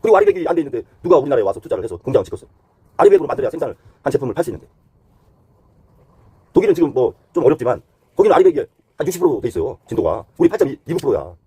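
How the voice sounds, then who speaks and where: A man's voice: mid-range voice, big pitch swings, rapid-fire, mellow, breathy edge, no echo. A man giving unscripted explanatory commentary as a host, talking conversationally point by point and sliding between polite and blunt, casual phrasing.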